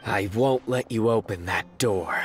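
An actor playing a stern, resolute young enforcer in a video game, speaking in a terse, solemn, measured way. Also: male voice, on the low side, over music, close to an everyday voice. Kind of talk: dramatic video game voice acting.

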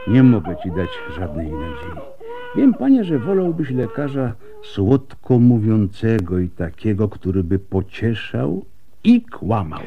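A bitter, honest doctor in a radio drama. His lowish voice swings widely and moves slowly, with deliberate sighs. The sound is somewhat dull. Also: big pitch swings, a little hiss or hum. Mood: grave. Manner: deliberate, sighing, blunt. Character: weary, bitter male doctor in plague times, bluntly honest.

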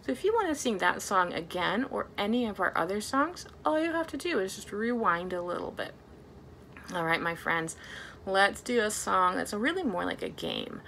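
A woman in her thirties leading a storytime for young children as a librarian, talking in an animated way with simple, exaggerated phrasing. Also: mid-range voice, moderate pace, creaky, a little hiss or hum. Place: small plain indoor room.

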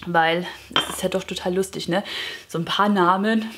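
A woman's voice: on the low side, fairly even intonation, normal speed, fairly bright tone, a little hiss or hum. A woman in her thirties chatting casually to camera as a vlogger, self-mocking and gesturing a lot, seated in a living room. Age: 30s. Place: bright living room indoors.

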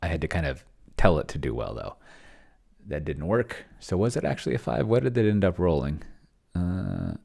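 A man's voice: low-pitched, huge pitch swings, normal speed, creaky, darkish tone. A middle-aged man giving casual screencast commentary, rambling and musing to himself as he reads along. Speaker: host playing solo tabletop roleplaying with a chatbot. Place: small cluttered indoor room.

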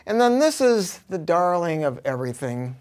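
An American man in his sixties giving a casual, unscripted product pitch as a hi-fi dealer, with an animated, emphatic, slangy delivery. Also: moderate pace. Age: 60s. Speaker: hi-fi dealer presenting a product review. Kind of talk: casual unscripted sales talk. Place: carpeted audio showroom with curtains and acoustic panels.

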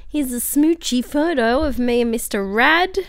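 A young Australian woman with a hoarse, croaky voice, chatting casually over her scrapbooking video with lots of fillers. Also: high voice, moderate pace, dry recording, studio quality. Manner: chatty, friendly, tangents and fillers. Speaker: scrapbooking channel host. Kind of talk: voice-over for a craft process video. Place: home craft desk.

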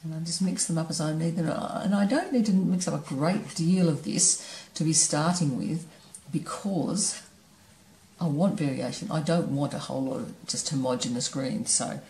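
Low-voiced woman demonstrating painting. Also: creaky, reverberant, a little hiss or hum, poor audio. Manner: relaxed, thinking aloud while painting. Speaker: painting teacher. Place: studio table, camera overhead.

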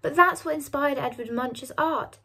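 An earnest teenage girl in a storybook narration, acted out by a woman, pleading and passionate, her pitch lifted a little above ordinary talk. She sounds stubborn and sincere. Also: moderate pace, a bit bright. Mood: eager.

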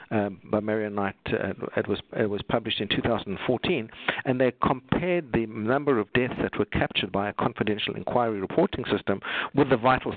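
A middle-aged South African man giving an unscripted webinar talk on obstetrics, halting, with many fillers and restarts. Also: fairly low pitch, no echo. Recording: tinny phone-like call audio, dry.

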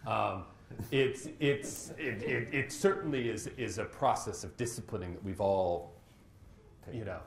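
A man speaking as a panelist, animated and halting, with fillers and restarts. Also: lowish voice, crowd noise. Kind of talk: panel discussion. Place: lecture hall stage, panel table. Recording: echoey hall, faint noise.

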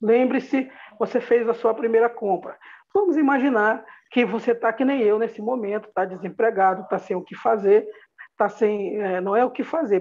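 A woman's voice: fairly high pitch, darkish tone. Upbeat Brazilian woman presenting over a video call. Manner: upbeat, motivational, addresses listeners directly. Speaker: business opportunity presenter. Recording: dull lo-fi video call audio.

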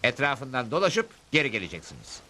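Cartoon cowboy hero, calm, shrewd, matter-of-fact, middle pitch, animated intonation, loud score. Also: male voice, fairly quick. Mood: calm, confident. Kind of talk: Turkish cartoon dub. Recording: loud music behind the voice.

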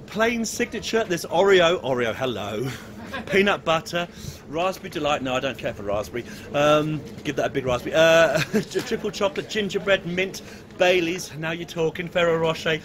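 A man's voice: a little high, normal speed. An English man filming a casual walking vlog at an outdoor market on a gimbal camera, chatty and jokey, chuckling as he chats with stallholders. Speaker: vlogger touring a Christmas market. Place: busy outdoor Christmas market street. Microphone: handheld camera on a gimbal. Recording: crowd noise of a busy street market. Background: crowd chatter.

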